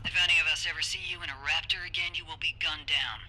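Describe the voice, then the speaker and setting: A woman's voice: low-pitched, fairly even intonation, fast talker, bright, forceful tone, exaggerated voice, poor audio. A woman playing a stern, decisive captain in a video game, commanding and curt. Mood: firm. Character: stern, decisive female captain, mech-piloting protector.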